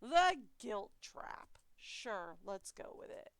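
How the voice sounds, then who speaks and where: A woman's voice: mid-range voice, big pitch swings, slow, clean tone, dry recording. A woman livestreaming a game, reading its dialogue aloud and adding casual commentary. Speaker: livestreamer playing a visual novel. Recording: loud music behind the voice. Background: music.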